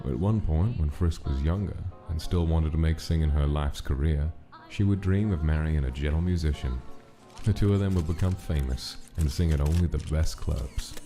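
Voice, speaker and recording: A man's voice: rumbling low, moderate pace. A man reading scripted story narration as the narrator of a drama. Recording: soft music under narration. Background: background music.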